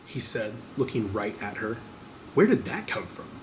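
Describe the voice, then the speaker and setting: A man's voice: on the low side, very animated, normal speed, a crowd in the background, low-quality recording. A man reading scripted audiobook narration as the narrator.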